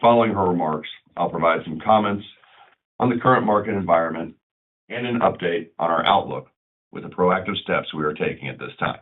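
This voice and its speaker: A man speaking as a chief executive, reading prepared corporate remarks in a formal, measured way. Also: low voice. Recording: muffled conference phone line.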